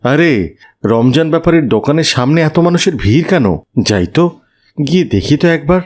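Animated, humble pious father. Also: on the high side, normal speed. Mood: curious, friendly. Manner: expressive, earnest. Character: humble, pious, poor father who leads prayers. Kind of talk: cartoon voice acting.

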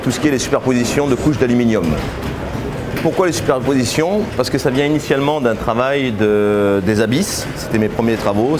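Man in his forties, halting speech with fillers, art fair stand, noisy background. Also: medium pitch. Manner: hesitant, many fillers and restarts. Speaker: exhibiting artist. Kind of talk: interview answers on camera.

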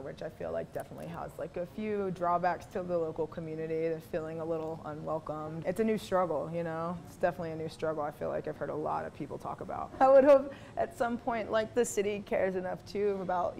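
Gravelly-edged woman, creaky and earnest. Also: lowish voice, a little mellow. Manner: earnest, seeks agreement with "you know". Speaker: local business owner. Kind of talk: casual documentary interview. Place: salon or barbershop. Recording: faint room noise behind.

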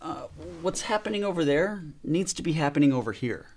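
An actor in his thirties playing a calm young pastor in a training film, explaining in a measured way. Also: fairly high pitch. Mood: instructive. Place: small meeting room.

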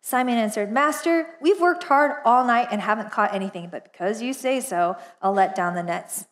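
A woman's voice: fairly high pitch, normal speed. A woman in her forties, a pastor, giving a casual, chatty sermon from a church stage with lots of laughter and fillers. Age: 40s. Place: church stage, large indoor auditorium.

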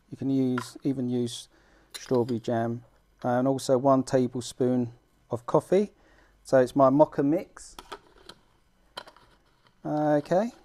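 A middle-aged man with a British accent hosting an informal homemade how-to vlog, talking in a halting, self-deprecating way as he works. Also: mid-range voice, warm tone, slight background noise. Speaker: fishing vlog host. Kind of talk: casual how-to demonstration. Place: small room at home, indoors.

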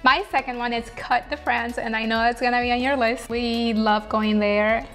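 A woman's voice: a little high, fairly even intonation, moderate pace, fairly bright tone, faint music behind, poor audio. A young adult woman chatting casually as co-host, brief and agreeable, on a lapel mic in a small studio. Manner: brief, agreeable, laughs often. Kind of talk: casual chat.

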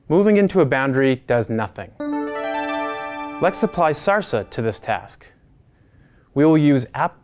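Guy in his 30s teaching a course, steady measured delivery, mid pitch, reading a script at home. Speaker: course instructor. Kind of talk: scripted lecture. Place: home study with bookshelves.

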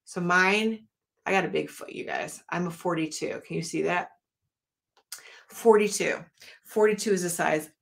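A woman's voice: lowish voice, moderate pace, gravelly, long pauses. Chatty woman hosting an art live stream. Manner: chatty and rambling, talks straight to viewers. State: losing her voice. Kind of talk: casual live-stream chat. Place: home art studio, indoors.

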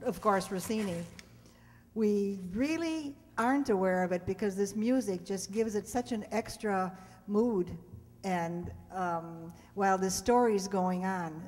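A woman's voice: medium pitch, a little mellow. A woman in her seventies giving an informal prepared talk to an audience from a stage. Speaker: speaker between radio plays. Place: on stage in a community hall. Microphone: stand microphone. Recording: reverberant community hall.

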